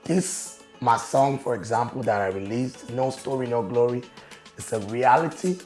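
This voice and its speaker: A man with a raspy voice, talking casually and confidently as a guest on an interview show. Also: mid-range voice, normal speed, darkish tone. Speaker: guest, business owner and show promoter. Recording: roomy echo with faint music.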